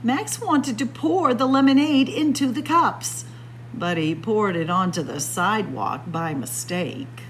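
A woman reading a picture book aloud to children as a storyteller, expressive and deliberate. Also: medium pitch, slight background noise, low-quality recording. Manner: expressive, deliberate, addressing young listeners as friends. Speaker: librarian storyteller. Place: indoor library room with bookshelves.

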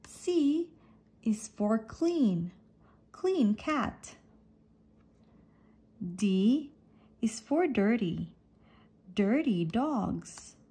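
Woman, children's read-aloud, very slow deliberate delivery, lively swooping intonation, alphabet book. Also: on the high side, slightly rough. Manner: deliberate, separates each letter and phrase. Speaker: reader of a children's picture book. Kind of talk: read-aloud of an alphabet picture book, teaching letters and words.

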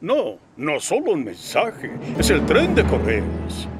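High, swooping pompous railway boss. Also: male voice, huge pitch swings, slow, heavily acted. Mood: bossy, brisk. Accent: Latin American Spanish. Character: pompous portly railway director, busy and easily surprised. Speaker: railway director giving orders. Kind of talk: animated children's series dialogue. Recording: music behind the voice.

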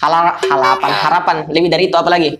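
A young man from Indonesia with a slightly hoarse voice, hosting a casual podcast call in a laid-back, chatty way. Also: a little high, steady tone, racing, fairly bright tone, a little hiss or hum. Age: young adult. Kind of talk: casual vlog-style phone interview. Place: small room, indoors.

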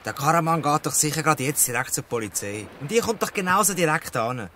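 An anxious, wary boy from a gang, acted by a man in a Swiss German radio drama. His voice is sharp and very animated, over faint recording noise. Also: a little high, quick pace. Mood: worried. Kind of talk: dialect radio play acting.

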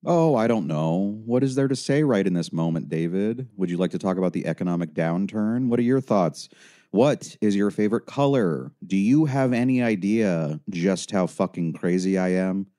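A man's voice: low voice, fairly even intonation, normal speed, warm tone, no room sound, clean studio sound, natural voice. A man voicing a story's troubled male caller, curt and paranoid, with an agitated, sarcastic, demanding manner. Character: Dan, curt, paranoid, sardonic suicidal man on phone.

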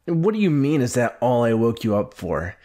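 A man playing a brash, gruff young anime hero who hides his fears, in a halting, defensive, intimate ASMR roleplay. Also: mid-range voice, big pitch swings, mellow, natural voice. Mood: indignant. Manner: halting, defensive, huffy, with sighs.

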